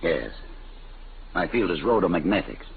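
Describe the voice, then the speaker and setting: Very deep, creaky old inventor. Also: male voice, big pitch swings, normal speed, full character voice. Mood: mild, courteous. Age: older. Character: frail, courteous old inventor in poor health. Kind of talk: 1950 radio drama acting. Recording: tinny, noisy old radio recording.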